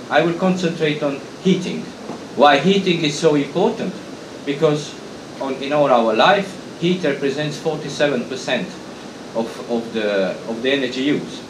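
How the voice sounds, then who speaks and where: A man's voice: on the high side, background noise. Man presenting in an echoey hall. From Romania. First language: Hungarian. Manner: halting, frequent restarts and fillers. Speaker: conference speaker, association president. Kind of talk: conference presentation. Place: conference hall.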